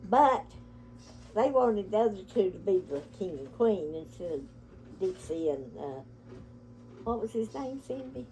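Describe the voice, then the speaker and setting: A woman's voice: medium pitch, very animated, a little slow, long pauses, mellow, a little hiss or hum, rough recording. An older woman with an Appalachian accent, telling family stories in a casual, folksy, rambling way. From Appalachia, United States. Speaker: family storyteller, grandmother. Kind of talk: casual reminiscing with relatives.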